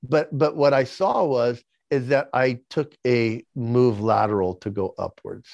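A man in his sixties with a noticeable lisp tells his story at length in an interview, stumbling over his first words before finding his thread. The recording is dry and slightly muffled, with little high end. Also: a little slow, gravelly, darkish tone, dry recording. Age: 60s. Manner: repeats words when starting sentences. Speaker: podcast guest.

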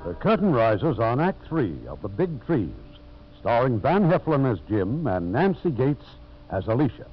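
A man's voice: medium pitch, big pitch swings, moderate pace, music in the background. A man giving scripted 1950s radio drama narration. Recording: muffled old radio recording.

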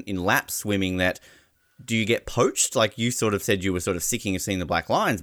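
A man's voice: fairly low pitch, fairly bright tone, dry recording, clean studio sound. A man with an Australian accent hosting an interview, rambling through his questions and repeating words as he laughs along. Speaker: interviewer, podcast host. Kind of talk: casual interview chat.

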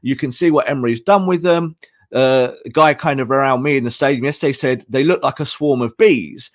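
A hoarse man analyzing football tactics on a podcast, emphatic and repeating his points. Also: medium pitch, fairly quick, no room sound, clean studio sound.

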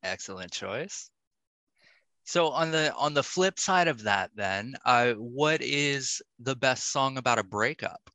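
A man hosting a casual interview, asking questions in a measured, encouraging way. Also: mid-range voice, lively intonation, bright, forceful tone, no echo. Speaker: podcast host, interviewer.

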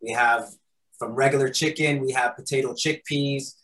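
A man's voice: mid-range voice, fairly even intonation, moderate pace, fairly bright tone. A man answering interview questions in a loose, conversational way, filling his answers with "um" and "right?". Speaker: guest, patty shop founder. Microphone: recording studio mic.

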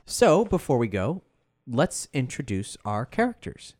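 A man's voice: mid-range voice, huge pitch swings, measured pace, darkish tone. A man hosting a podcast, making casual announcements to listeners in a conversational way. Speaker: host and performer.

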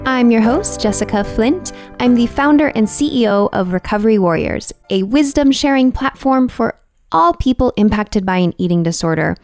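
A young adult woman hosting a podcast, chatty and casual, saying "like" a lot, slightly raspy from a cold. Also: a little high, expressive, moderate pace, darkish tone, soft background music. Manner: chatty, uses "like" a lot, self-deprecating. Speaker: podcast host.

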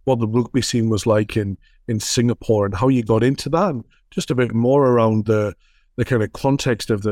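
A man's voice: on the low side, darkish tone, no echo, studio quality. A man hosting a podcast, reading a scripted intro and then asking easygoing questions. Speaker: podcast host.

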